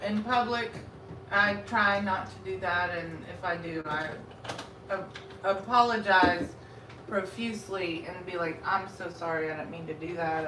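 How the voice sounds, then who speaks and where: A woman from Texas with a Texan accent, chatting frankly and rambling while she cooks and eats during a live stream from her home kitchen. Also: lowish voice. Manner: rambling and frank, talks while eating and cooking. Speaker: food vlogger. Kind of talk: casual live-stream chat while cooking. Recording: roomy, distant from the mic, noisy.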